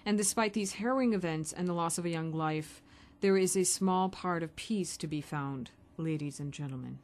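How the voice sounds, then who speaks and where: A woman's voice: lowish voice, normal speed, darkish tone, close to an everyday voice. A woman acting in an amateur radio play with scripted, everyday adult delivery. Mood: solemn. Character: female mother and solemn news reader.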